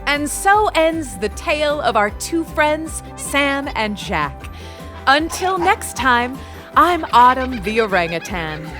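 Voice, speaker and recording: Lady playing orangutan narrator, slow n friendly, high voice, kids zoo story. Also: sing-song, a bit bright, soft background music, full character voice.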